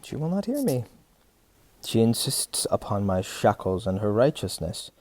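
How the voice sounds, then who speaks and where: A man's voice: lowish voice, big pitch swings, unhurried, a little mellow, dry recording. A man playing a vain, lustful, scheming captain in a period audio drama, speaking in theatrical, formal old-fashioned language. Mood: bitter scheming. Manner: theatrical, archaic formal diction. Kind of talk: period stage-play audio drama acting.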